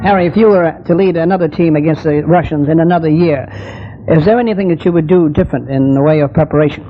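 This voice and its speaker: Fast, higher-voiced male radio host, vintage sound. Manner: formal, courteous announcer phrasing. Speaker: radio sports interview host. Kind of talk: classic radio sports interview. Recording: dull vintage 1972 radio, faint noise.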